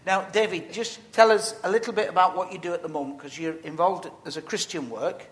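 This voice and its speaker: Male interviewer, friendly and teasing, higher-than-usual voice. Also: a bit bright, a little hiss or hum. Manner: friendly, teasing, halting questions. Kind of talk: casual interview questions.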